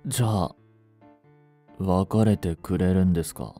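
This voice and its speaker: An actor playing a young genius swindler in a romantic audio drama, lovestruck, jealous and boyishly flustered, speaking politely. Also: male voice, low-pitched, fairly even intonation, measured pace, mellow, faint music behind. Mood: dejected, confused. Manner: polite speech, stammering when flustered. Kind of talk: romantic audio drama acting, addressed to the listener.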